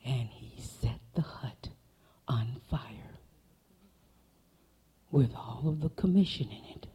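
A woman's voice: extremely low pitch, lively intonation, takes it slowly, mellow, breathy edge. A woman telling folk tales to an audience, dramatic, dropping into whispers for effect. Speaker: storyteller. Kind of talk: folk tale storytelling performance.

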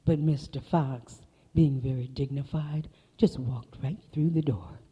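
A woman's voice: very deep voice, fairly even intonation, measured pace, slightly rough, highs cut off. A woman telling folk tales to an audience, dramatic, dropping into whispers for effect. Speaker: storyteller. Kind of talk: folk tale storytelling performance.

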